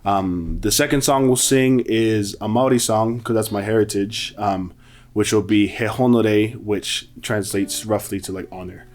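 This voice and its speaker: Relaxed, hesitant young man, somewhat low. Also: measured pace. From New Zealand. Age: 20s. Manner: relaxed, hesitant, many ums and likes. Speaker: musician and producer. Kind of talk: casual self-introduction.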